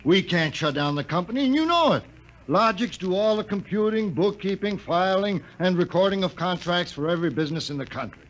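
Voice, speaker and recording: A brusque, dismissive boss. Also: male voice, high voice, expressive, brisk, rough recording. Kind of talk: 1950s radio drama acting.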